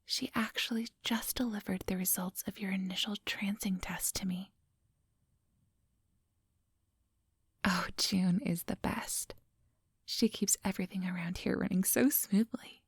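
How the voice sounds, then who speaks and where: A woman playing a centuries-old vampire boss in an ASMR roleplay, whispery and breathy, speaking close to the microphone in a silky, patronizing, quietly menacing manner. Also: medium pitch, steady tone, no room sound. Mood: smooth, appraising. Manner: slow, unhurried, silky and patronizing.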